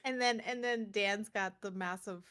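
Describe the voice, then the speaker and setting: A woman with a medium-pitched voice talks as a co-host on a gaming show. Her delivery is informal and anecdotal, like casual conversation among friends. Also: fairly even intonation, measured pace, a bit bright. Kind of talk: casual chat about video games.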